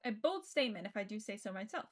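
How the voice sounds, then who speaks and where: A woman's voice: medium pitch, sing-song, quick pace. A woman streaming a visual novel, reading it aloud expressively and breaking off into casual, reactive asides. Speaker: game streamer. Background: game music.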